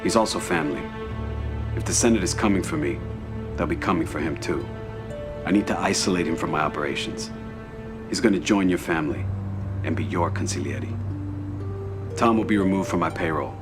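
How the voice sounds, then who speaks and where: An actor playing a middle-aged American mafia boss in a game drama, terse, controlled and authoritative. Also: male voice, sits low, brisk, pauses often, over music, natural voice. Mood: commanding. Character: commanding middle-aged male mafia boss under investigation. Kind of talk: dramatic game cutscene acting.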